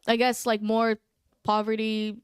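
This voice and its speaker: Female guest, crisp, bright-ish voice, dry close sound, halting casual talk. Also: a little high, monotone, dry recording. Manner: hesitant, filler-heavy. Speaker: podcast guest. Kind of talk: casual podcast chat.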